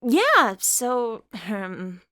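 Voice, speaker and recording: A woman's voice: fairly high pitch, wildly swooping pitch, very slow, bright, forceful tone, no echo, clean studio sound, voice pushed up, full character voice. A woman voicing a friendly, curious and forthright young woman with an upbeat, chatty delivery in a story. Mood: confiding.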